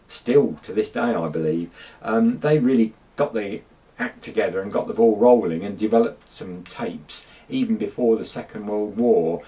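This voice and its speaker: A man's voice: on the low side, dark tone, distant mic. A middle-aged man, casually talking about old tape recordings, rambling with many ums and restarts. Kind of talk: casual chat to camera.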